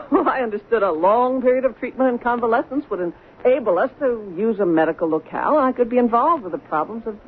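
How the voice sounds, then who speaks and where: An actress playing a proud, wounded and vengeful soap-opera wife in a radio drama. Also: female voice, fairly high pitch, animated, fast, hardly any pauses, natural voice. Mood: breezy, expectant. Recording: tinny, noisy 1970s radio recording.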